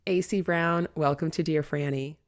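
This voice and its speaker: A woman's voice: low voice, fairly even intonation, normal speed. An American woman from New York City hosting a casual interview in an effusive, encouraging way. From New York City, USA. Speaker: podcast host interviewing a guest.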